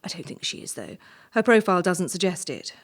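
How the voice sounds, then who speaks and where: A woman's voice: fairly low pitch, expressive, close to an everyday voice. Woman acting ruthless posh-entitled lady official in a play, condescending and bossy, voice goes up and down a lot. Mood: cool, clinical. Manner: brisk, condescending, coolly domineering. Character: entitled, ruthless young female assessor with veneer of charm. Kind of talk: stage-play dialogue.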